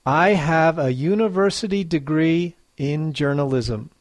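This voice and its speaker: A teacher running a guessing quiz for learners, reading each clue slowly and clearly, then repeating it. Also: mid-range voice, dry recording. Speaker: English teacher. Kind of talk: slow quiz dictation for learners.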